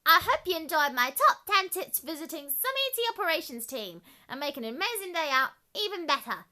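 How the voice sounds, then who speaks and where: Very high female voice, piercingly bright, lively intonation, perky junior reporter, scripted tour narration. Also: a little fast. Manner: perky, exclamatory children's presenter delivery.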